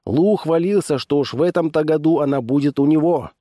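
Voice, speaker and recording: A man's voice: a little high, fairly quick, warm tone, no echo, studio quality, pitched above the performer's own voice, natural voice. A man voicing a stern, exasperated small-town constable who barks orders in an audiobook story. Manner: barking, stern, weary of troublemakers. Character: gruff, exasperated small-town male constable laying down the law.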